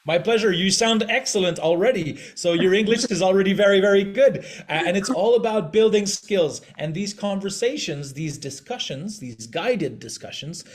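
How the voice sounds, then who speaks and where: A man's voice: high voice, expressive, normal speed, hardly any pauses. A man hosting an online group call, upbeat and encouraging in a chatty way. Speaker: host.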